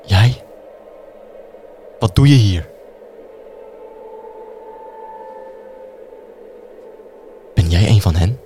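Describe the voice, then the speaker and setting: A man's voice: on the low side, expressive, slow, mellow, slight background noise. A man acting a curt, zealous young collaborator brother in a wartime radio play. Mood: shocked, accusing.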